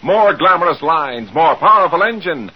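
A man with an American accent and a husky edge, delivering a punchy 1950s radio car advertisement. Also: fairly high pitch, lively intonation, moderate pace, dull audio. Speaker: commercial announcer. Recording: old 1950s radio broadcast sound.

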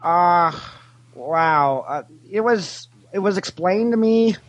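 A man's voice: high-pitched, expressive, slow. A man playing a cheerfully shameless, greedy Ferengi alien money investigator, talking in a hesitant, rambling, matter-of-fact way in an improvised interview. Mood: hesitant, confused. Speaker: interview guest. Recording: internet call connection.

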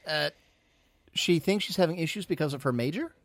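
A man's voice: a little high, expressive, a little fast, dry recording. A man hosting a live podcast, chatting casually, rambling and teasing with mock boasts. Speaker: podcast host.

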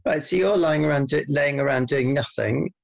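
Gravelly, deadpan man. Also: on the high side, normal speed, highs cut off. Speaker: podcast co-host. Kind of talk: comic banter.

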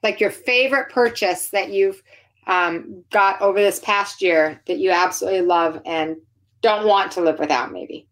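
A woman's voice: medium pitch, normal speed. A woman in her forties hosting a casual interview, friendly and rambling as she tosses out questions. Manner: friendly, rambling, lots of fillers. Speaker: podcast host.

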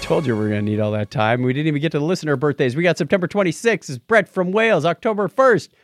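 A man's voice: a little high, lively intonation, quick pace, soft background music. A man co-hosting a casual show, bantering in a jokey, chatty way through news items and announcements. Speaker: podcast co-host.

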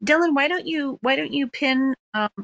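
A woman's voice: sits high, normal speed, creaky, a bit bright. A woman hosting an online audio chat, welcoming and encouraging the guests as she calls on each speaker in turn. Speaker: host.

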